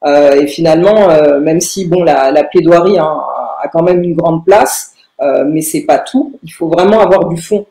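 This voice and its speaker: A young woman, answering in a podcast interview with halting phrasing and very frequent filled pauses. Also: deep voice, mellow. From Paris, France. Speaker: lawyer, interview guest. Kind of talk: podcast interview answers about own career. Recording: roomy, echoing room sound.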